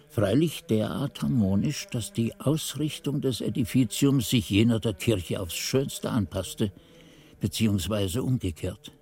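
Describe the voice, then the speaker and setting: A man playing a devout, learned monk who recalls his novice days in measured, reverent radio play narration. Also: fairly low pitch, dark tone, slightly rough. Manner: measured, reverent, ornate phrasing. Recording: music under the voice.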